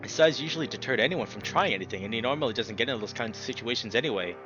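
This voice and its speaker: A man narrating a visual novel aloud as a let's player, dramatic and expressive, swearing freely in casual reactions. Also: mid-range voice, rapid-fire, highs cut off. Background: music.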